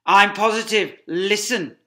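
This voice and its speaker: A reader voicing Mister Fox, a brave, quick-thinking male fox who leads his family, speaking with urgent, commanding shouts in a storybook reading. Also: medium pitch, pitched above the performer's own voice. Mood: alarmed.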